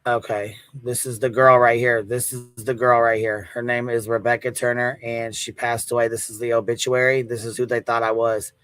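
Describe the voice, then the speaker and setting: A man's voice: mid-range voice, little pitch movement, moderate pace, hardly any pauses, fairly bright tone, clean tone, dry recording, low-quality recording. A man with an American accent doing casual livestream commentary, telling a story in informal, sweary, slangy talk.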